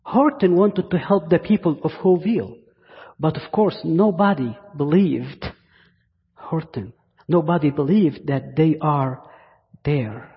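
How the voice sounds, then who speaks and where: A man from Egypt with an Egyptian accent preaches a sermon to a congregation as a guest, deliberately, pausing and repeating phrases for emphasis. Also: on the high side. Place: large indoor church space. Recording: muffled, reverberant church hall sound.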